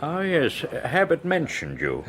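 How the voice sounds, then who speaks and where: An actor playing a courteous, fussy anatomy professor in a radio drama, pedantic and full of polite hesitations. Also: male voice, on the high side, huge pitch swings, measured pace, fairly bright tone, slight background noise, heavily acted. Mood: polite, hesitant.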